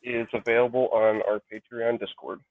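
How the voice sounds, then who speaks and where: A man who writes comics, talking in a low-key, monotone way during a casual chat. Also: normal speed, no room sound. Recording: dry, tinny phone-like line.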